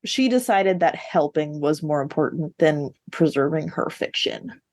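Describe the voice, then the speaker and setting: A woman's voice: low-pitched, animated, measured pace, darkish tone, dry recording. A woman co-hosting a book discussion podcast, chatting casually and arguing her opinions insistently. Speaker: podcast co-host.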